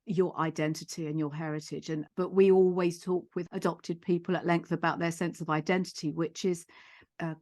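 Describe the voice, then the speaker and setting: A middle-aged woman hosting a podcast interview in a measured, reflective way, feeling out her phrasing as she goes. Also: lowish voice, flat delivery, darkish tone, no room sound, studio quality. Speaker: podcast host and psychotherapist. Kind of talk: gentle interview.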